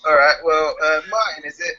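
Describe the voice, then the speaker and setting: A man's voice: on the high side, a little fast, bright, forceful tone, dull audio. A man chatting cheerfully as a guest, laughing easily. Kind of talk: casual chat.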